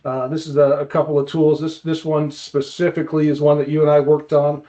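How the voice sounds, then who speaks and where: A man hosting a podcast in a casual interview style, with a halting delivery that often repeats words. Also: a little high, flat delivery, mellow, highs cut off. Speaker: podcast host.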